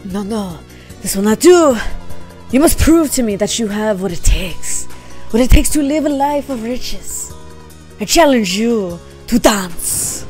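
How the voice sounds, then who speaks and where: A woman's voice: fairly high pitch, lively intonation, a little slow, a little mellow, soft background music. A woman voicing a flamboyant male dancer boss in a game, with a put-on Mexican accent and a theatrical, declaiming delivery. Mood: grandiose.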